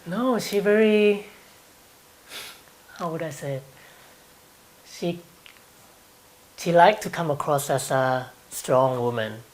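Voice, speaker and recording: A man's voice: on the high side, slow pace, pauses often. Young guy w thai accent, lots of ums, chatty interview answers. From Thailand. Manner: hesitant, with frequent ums and light laughs.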